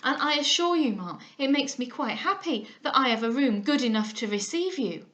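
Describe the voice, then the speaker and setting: Brief: woman reader voicing a forward, low-bred young suitor, loud and ingratiating, fairly high voice. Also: lively intonation, normal speed. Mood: fawning. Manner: loud, ingratiating, affected gentility. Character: boastful vulgar young man, forward and fawning.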